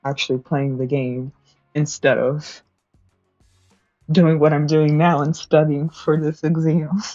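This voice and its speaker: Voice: mid-range voice, little pitch movement, unhurried, a little mellow, no echo, dull audio, soft background music. A young adult streamer chatting casually while studying, rambling, correcting themselves and laughing often.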